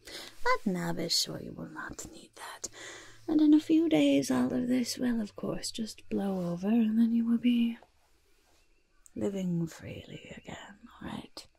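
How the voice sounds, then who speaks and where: A woman voicing a fussy, kindly goblin disguise master in a whispery, breathy, coaxing ASMR roleplay, close to the microphone. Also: a little high, animated, a little slow, dry recording. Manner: coaxing, gentle, hesitant asides, repeated words. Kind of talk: soft-spoken ASMR roleplay.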